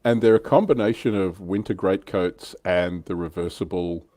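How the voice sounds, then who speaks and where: A man's voice: sits low, normal speed, mellow, no room sound. A man hosting a casual hobby panel chat, talking informally. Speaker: host and reviewer on a tabletop wargaming livestream.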